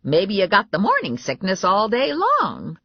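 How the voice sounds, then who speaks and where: A woman's voice: medium pitch, wildly swooping pitch, a little slow, creaky, husky, dry recording, dull audio, pitched above the performer's own voice, full character voice. A woman voicing a blunt, tactless, spry old grandmother in a comic audiobook. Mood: nosy.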